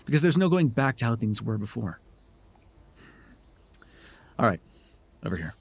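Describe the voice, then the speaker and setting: A man voices a smug male supervillain turned mentor for an audio roleplay. His husky voice sits at a middling pitch and swings expressively up and down. He is wry and teasing, with a theatrical streak in a conversational delivery. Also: sing-song, moderate pace, heavily acted. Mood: wry, bossy. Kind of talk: ASMR roleplay voice acting.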